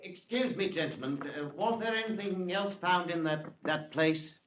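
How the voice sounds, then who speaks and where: A man's voice: sits high, normal speed, fairly bright tone, highs cut off, slight background noise. An actor playing a nervous, evasive man suspected of murdering his wife, stammering and fumbling his words in a 1950s radio drama.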